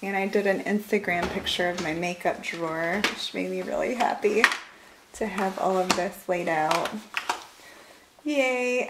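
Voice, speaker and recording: A woman's voice: mid-range voice, very noisy. A woman in her forties with a Michigan accent, chatting casually as she films a tour of her house, laughing often and trailing off mid-thought. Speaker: vlogger. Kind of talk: casual home tour vlog. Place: house, indoors, moving through rooms.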